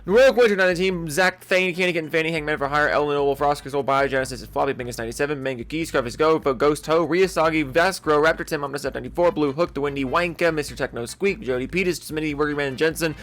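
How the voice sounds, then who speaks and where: Male video creator, slightly high voice, quick delivery, blunt and sarcastic with frequent swearing. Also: fairly bright tone. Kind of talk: opinionated rant and reading out supporter names.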